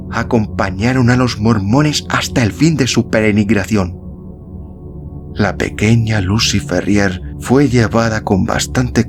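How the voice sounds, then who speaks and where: A man reading a literary story as a scripted narration, in the role of podcast narrator. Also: lowish voice. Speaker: audiobook-style podcast narrator. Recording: music behind the voice. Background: instrumental music.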